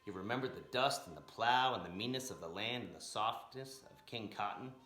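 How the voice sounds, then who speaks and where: A man reading a story aloud in an expressive, dramatic narrating style with emphatic, rhythmic phrasing. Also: fairly low pitch, a little fast, soft background music. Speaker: storyteller reading a picture book aloud, blues educator. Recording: roomy, echoing room sound.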